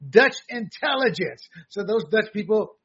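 A man's voice: sits high, animated, measured pace, fairly bright tone, husky, dull audio. A man hosting a political talk show, giving jokey, emphatic commentary and often repeating his words.

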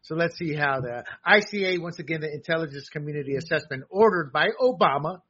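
Guy doing political commentary, high raspy forceful voice, jokey and emphatic. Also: fast, highs cut off. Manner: jokey, emphatic, repeats words. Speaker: political talk show host.

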